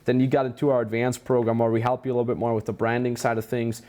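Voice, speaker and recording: A man's voice: mid-range voice, level tone, quick pace, darkish tone. Upbeat male business host with accent. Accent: German. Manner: enthusiastic, praising, many restarts. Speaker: business mentor, interview host. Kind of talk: casual interview conversation.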